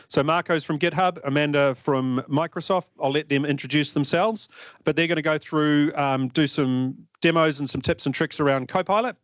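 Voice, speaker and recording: A man's voice: fairly high pitch, fairly even intonation, moderate pace, fairly bright tone, dry recording. A man hosting a meetup, introducing the speakers and upbeat as he urges the crowd to ask questions. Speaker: meetup host. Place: meetup room with a live audience.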